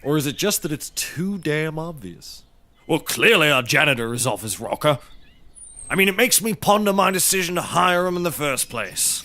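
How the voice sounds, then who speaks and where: An actor playing a pompous, skeptical British private investigator, theatrical and wordy, in a scripted comedy. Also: male voice, on the high side, animated, moderate pace, pushed and bright. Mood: skeptical, amused.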